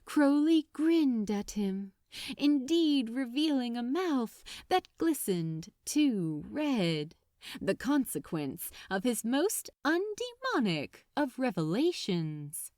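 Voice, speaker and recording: A woman reading a story aloud as a dramatic narrator, expressive and unhurried, lingering on her phrases. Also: on the high side, no room sound, clean studio sound. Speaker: audiobook-style narrator of fan fiction.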